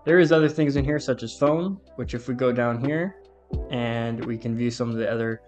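Male tutorial presenter, mid-pitched, conversational and informal, walking through steps with music behind. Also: moderate pace, a little mellow. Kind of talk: casual step-by-step software walkthrough.

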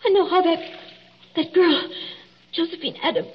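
An actress playing a terrified young bride in a 1940s radio drama, weak with exhaustion. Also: female voice, very high-pitched, normal speed. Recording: dull old radio broadcast.

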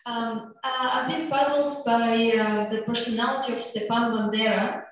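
A woman's voice: fairly high pitch, steady tone, moderate pace. A woman in the audience asks a question at a lecture, hesitant and with many fillers. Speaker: audience member asking a question. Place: lecture hall. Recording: echoey hall, distant from the mic.

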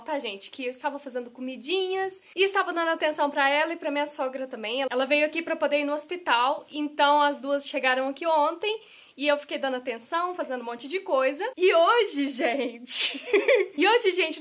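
A woman in her thirties chatting to her phone camera at home, friendly and talkative with frequent laughs. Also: very high voice. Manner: chatty, warm and affectionate, laughs often. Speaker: vlogger. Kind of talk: casual vlog chat to camera. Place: bright room at home, indoors. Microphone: phone camera held at arm's length.

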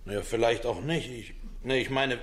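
An actor playing an evasive, casual young male defendant in a courtroom radio play, speaking in a halting, colloquial way. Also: mid-range voice, close to an everyday voice. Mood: uneasy, defensive.

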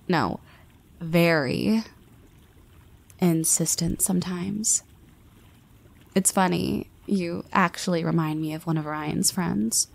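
A woman's voice: lowish voice, vocal fry, heavily acted. A woman voicing a confident young woman who teases her friend, with playful half-whispered asides. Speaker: voice actress. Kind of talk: scripted audio roleplay.

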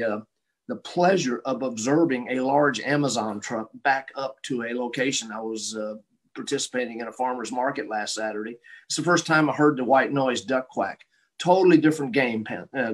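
An older man presents testimony at an online town hall. His voice sits at a middle pitch, picked up by a computer mic in a room at home. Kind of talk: prepared testimony on a video call. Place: home room indoors, on a video call. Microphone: computer mic on video call.

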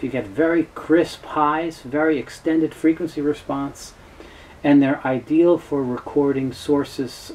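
A man's voice: mid-range voice, a little mellow, a little hiss or hum, rough recording. A middle-aged man giving an informal, matter-of-fact product explanation as a presenter. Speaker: presenter, tape seller. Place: small cluttered indoor room full of shelves and tapes.

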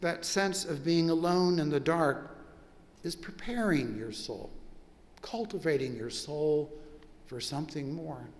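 An older man, a pastor, preaching a measured, reflective sermon with long pauses in a large church sanctuary. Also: a little high, darkish tone, in a large hall.

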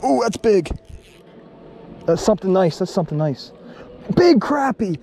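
Excitable fishing vlogger man outdoors. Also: a little slow. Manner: excitable, gets loud and high when fish bite. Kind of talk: casual outdoor commentary. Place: outdoors by a lake below a dam. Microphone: head-mounted action camera. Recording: faint outdoor noise.

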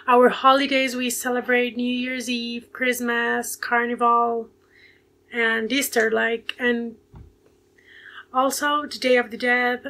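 Bright-voiced young Ecuadorian woman. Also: on the high side. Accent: Ecuadorian Spanish. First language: Spanish. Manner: hesitant, many fillers, searching for words. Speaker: guest. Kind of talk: casual video call interview. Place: home bedroom with bookshelves. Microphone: earphone mic on a video call.

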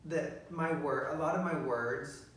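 A middle-aged man with a Southern US accent, chatting casually as a co-host, with words that run together and many pauses and restarts. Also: fairly high pitch, a bit bright, far from the mic, a little hiss or hum. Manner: mush-mouthed, pauses and restarts while thinking. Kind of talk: casual chat. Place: living room, seated on a leather sofa. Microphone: phone camera from across the room. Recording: very echoey room, voice off-mic.

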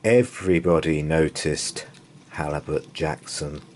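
A man reading a children's bedtime story aloud in an expressive, dramatic way with drawn-out pauses. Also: extremely low pitch. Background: open fire ambience.

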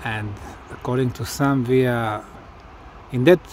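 A man who is a non-native English speaker, putting deliberate questions as an interviewer outdoors. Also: mid-range voice, steady tone, background noise. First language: not English. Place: outdoors, behind the camera.

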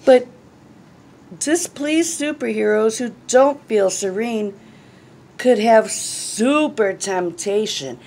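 A middle-aged woman reading a children's picture book aloud in an expressive, deliberate, phrase-by-phrase way. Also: fairly high pitch. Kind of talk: read-aloud storytelling. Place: small classroom, indoors. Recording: clearly noisy room recording.

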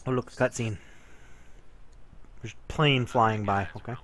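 A man's voice: medium pitch, fairly even intonation, a little slow, a crowd in the background. A man casually chatting while playing a co-op zombie game with a friend, joking, rambling and laughing often. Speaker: gameplay commentator. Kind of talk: casual gameplay chat with a friend.

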